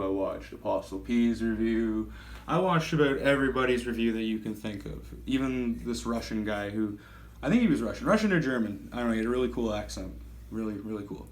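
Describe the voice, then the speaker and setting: A man in his thirties giving a casual gear review, talking in a rambling, self-correcting and enthusiastic way. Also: fairly low pitch. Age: 30s. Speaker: knife reviewer.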